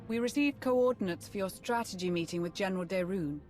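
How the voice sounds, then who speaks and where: A woman's voice: mid-range voice, fairly quick, a little mellow, close to an everyday voice. Woman playing an arch, teasing shipmate, music behind.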